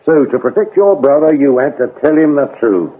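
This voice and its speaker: An actor playing a shrewd, scornful British police inspector interrogating in a radio drama, sardonic and accusing. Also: male voice, on the high side, expressive, a little fast. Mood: scornful, stern. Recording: lo-fi, narrow-band radio drama recording.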